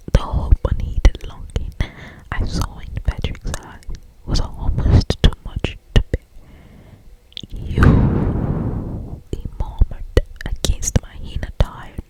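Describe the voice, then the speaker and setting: An ASMR creator reading a romance novel aloud in a breathy whisper, with lingering phrasing and occasional asides. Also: low-quality recording. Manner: slow, lingering phrasing with repeated words and asides.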